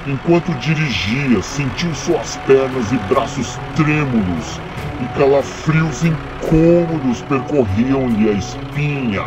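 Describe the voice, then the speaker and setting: A man with a Brazilian accent narrating a scripted horror story in a deliberate, dramatic way with pauses. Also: mid-range voice, lively intonation. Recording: dull audio under loud music.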